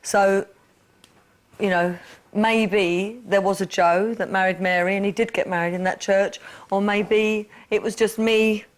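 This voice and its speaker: A woman answers interview questions in an earnest, reflective way. Her voice sits at an ordinary middle pitch. She circles back and repeats herself as she thinks aloud. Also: steady tone, measured pace. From East London. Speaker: interview guest. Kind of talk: candid personal interview.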